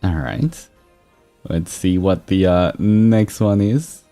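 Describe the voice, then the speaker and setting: A man hosting a livestream, moving between expressive story reading and casual, amused chat. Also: deep voice, slow pace, soft background music. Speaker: livestream host reading fan fiction aloud.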